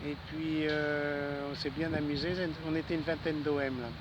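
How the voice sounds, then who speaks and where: A Frenchman with a fairly high voice talks on amateur radio from a city garden, with clear outdoor noise around him. His delivery is casual and easygoing, with halting pauses as he hands over the conversation. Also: level tone. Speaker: amateur radio operator, channel host. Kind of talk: casual radio contact chat. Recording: noisy outdoor city ambience. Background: urban outdoor noise, traffic.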